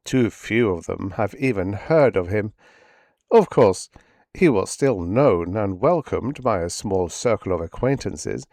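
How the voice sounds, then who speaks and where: A man's voice: lowish voice, darkish tone, dry recording, clean studio sound. A man narrating an audiobook as a nostalgic, proud old project chief, speaking in a reflective, faintly formal way. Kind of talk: first-person audiobook character narration.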